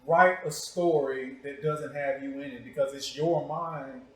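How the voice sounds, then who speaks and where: A man's voice: medium pitch, steady tone, a little slow. An American man interviewing as a show host in a casual, joking way, with teasing, provocative, slangy talk. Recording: echoey, reverberant room.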